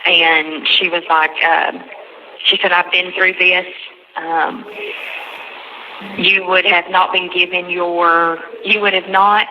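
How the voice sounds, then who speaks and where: A woman on a recorded jail phone call, recounting a conversation in a halting, repetitive way and quoting others at length. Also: lowish voice, flat delivery, background noise. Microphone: telephone handset.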